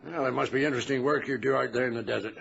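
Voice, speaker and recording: An actor playing an old sea captain near retirement in a radio drama, genial, plain-spoken and politely inquisitive. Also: male voice, medium pitch, fairly even intonation, very fast, gravelly, fairly bright tone. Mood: curious. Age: older. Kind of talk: period radio drama acting. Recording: muffled radio drama with faint background noise.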